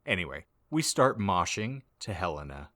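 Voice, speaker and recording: Male podcast narrator, low and forceful, theatrical mock-serious delivery for comic audiobook reading. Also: very animated, normal speed. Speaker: podcast host reading aloud.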